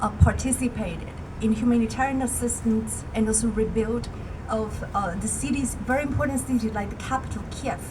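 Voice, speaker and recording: A woman with a somewhat high voice delivers a prepared speech at an outdoor solidarity rally. Her delivery is measured and emphatic, building to rallying calls. The recording is noisy, with traffic clearly audible around her. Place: outdoor public gathering.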